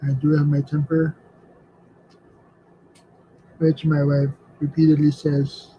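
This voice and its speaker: A middle-aged man chatting casually at home, halting and hesitant, with many fillers and repeated words. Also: a little high, completely flat delivery. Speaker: artist and vlogger. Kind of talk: casual reflective chat while drawing. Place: home studio room. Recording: slightly dull lo-fi sound with faint background noise.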